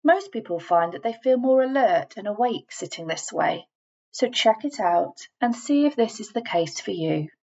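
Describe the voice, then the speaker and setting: A woman reading a scripted guided relaxation in a measured, instructing manner, leaving deliberate pauses between phrases. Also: medium pitch, lively intonation, vocal fry, a little mellow, highs cut off. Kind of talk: scripted guided meditation voiceover.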